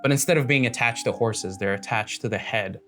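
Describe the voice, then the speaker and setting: A man's voice: medium pitch, brisk, over loud music. A man working as game master, narrating a tabletop fantasy game in vivid, descriptive second-person storytelling.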